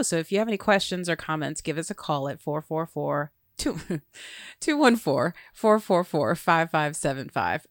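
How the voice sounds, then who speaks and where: A woman hosting a parenting talk show, upbeat and quick to laugh. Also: sits low, no room sound, clean studio sound. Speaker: host.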